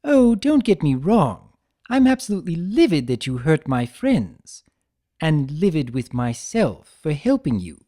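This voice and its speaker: A man's voice: a little high, big pitch swings, measured pace, dark tone. A man voicing a mischievous, flippant chimera trickster in a theatrical, teasing way for a fan fiction reading. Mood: earnest.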